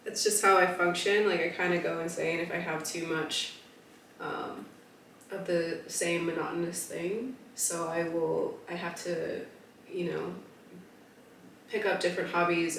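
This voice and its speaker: A woman co-hosting a podcast in casual chat, her voice still deep from a cold, hesitant and giggly. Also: little pitch movement, a little slow, fairly bright tone, far from the mic. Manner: hesitant, many restarts, giggly. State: recovering from a cold, voice deeper. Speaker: podcast co-host. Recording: echoey room, voice a bit off-mic, faint noise.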